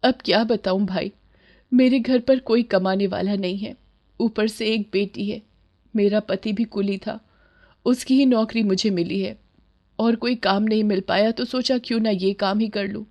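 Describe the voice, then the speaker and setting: A woman narrator plays a poor young widowed mother in a storybook tale. She sounds weary and humble, with a plaintive, earnest delivery at a medium pitch. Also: fairly even intonation, a little slow, a little mellow. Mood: weary, resigned. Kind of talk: storybook dialogue in Hindi.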